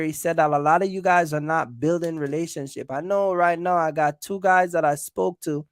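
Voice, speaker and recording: A man with a slightly high, gravelly voice talks casually as a livestream host. He addresses his viewers directly, repeating his points for emphasis. The sound is close and dry. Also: fairly bright tone, no room sound. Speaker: host of a trucking business livestream.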